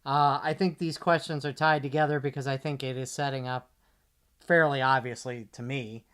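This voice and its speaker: Man, mid-range voice, podcast co-host, casual sports analysis, measured, point-by-point delivery. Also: medium pitch, fairly even intonation, fairly bright tone, clear, resonant tone.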